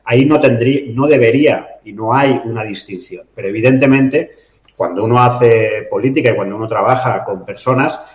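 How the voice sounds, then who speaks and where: A man from talks through interview answers as a mayor. He is measured and reflective, full of small pauses and fillers, and his voice rings a little in an echoey room. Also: medium pitch. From Catalonia, Spain. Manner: measured, thoughtful, many filler "eh" and tag "¿no?".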